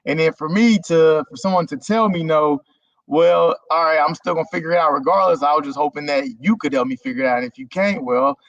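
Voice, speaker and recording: A man's voice: a little high, a little fast, no room sound. Easygoing man on a lo-fi stream call. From Germany. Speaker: guest content creator. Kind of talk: casual interview talk. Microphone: video call. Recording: dull lo-fi stream audio.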